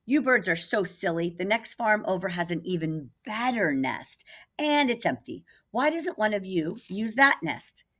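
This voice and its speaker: A woman's voice: medium pitch, expressive, unhurried, fairly bright tone, voice pushed up. A woman voicing a scheming male cat in a storybook, theatrical and persuasive. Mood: sly persuasion.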